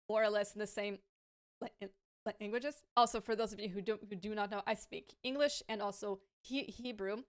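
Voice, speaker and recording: A woman with a smooth, clear voice talks casually to camera, answering viewer questions. She stammers often, repeating sounds and restarting words, and stays thoughtful and frank with light laughs. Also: on the high side.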